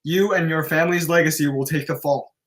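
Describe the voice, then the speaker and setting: An actor in a script table read playing a curt, menacing general who speaks in cold threats. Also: male voice, on the high side, steady tone, brisk, a bit bright, lightly acted. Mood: cold, threatening.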